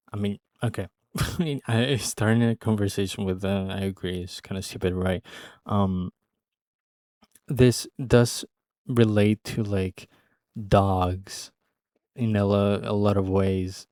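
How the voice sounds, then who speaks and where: A man giving casual reaction commentary, thinking aloud in a halting way. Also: fairly low pitch, a little mellow, no room sound, studio quality. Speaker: reaction video host.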